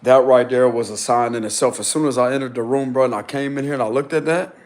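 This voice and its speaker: A man with a deep, loud voice vlogs casually from a small rented room. He talks in an excited, insistent way, full of slang. His pitch sits in the middle range for a man. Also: quick pace. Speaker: spiritual vlogger. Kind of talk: casual vlog to camera.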